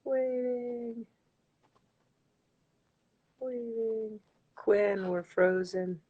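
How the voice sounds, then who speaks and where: A woman's voice: fairly high pitch, drawn-out words, slightly rough, narrow, tinny audio. A woman in her forties hosting a casual live-streamed interview from home, bubbly and enthusiastic, with frequent ums, heard through a laptop mic. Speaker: host. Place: room at home, indoors. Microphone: laptop webcam mic on a video call.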